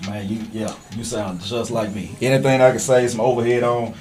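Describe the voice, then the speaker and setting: A man's voice: mid-range voice, flat delivery, a little fast, a little mellow. A man hosting a podcast in casual, slangy, affirming chat, peppering his talk with "man". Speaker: podcast host. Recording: voice distant from the mic.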